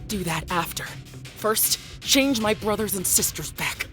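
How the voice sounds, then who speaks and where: A woman's voice: medium pitch, animated, measured pace, music in the background, lightly acted. A woman playing a bitter, defiant eldest daughter in an audio drama, blunt, sardonic and combative. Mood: cold sarcasm. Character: defiant, bitter firstborn daughter fighting for her siblings.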